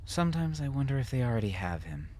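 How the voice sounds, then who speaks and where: A man voicing a bitter, anguished wife and mother with a sharp, sardonic manner in an audiobook drama. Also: medium pitch, big pitch swings, quick pace, a little hiss or hum, voice pushed up, lightly acted. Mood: hushed, hurt. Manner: sharp, sardonic, sometimes near whispering.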